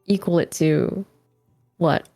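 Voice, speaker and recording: A woman's voice: on the low side, slow pace, dark tone, dry recording, faint music behind. A woman from Japan whose first language is Japanese, speaking English with a Japanese accent in casual, hesitant chat. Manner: hesitant, searching for words. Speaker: guest.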